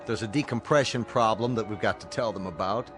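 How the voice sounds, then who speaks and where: An actor playing a firm, rational colonel leading a rescue mission in a radio drama, speaking with measured authority. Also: male voice, lowish voice, over music, close to an everyday voice. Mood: businesslike.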